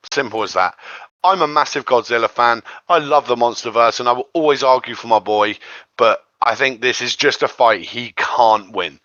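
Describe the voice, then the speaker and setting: A man's voice: medium pitch, pushed and bright, no room sound. A man speaking as a guest in a casual geeky debate, emphatic and repeating points for stress, on a dull-sounding recording. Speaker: guest panelist. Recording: dull, close, dry microphone sound.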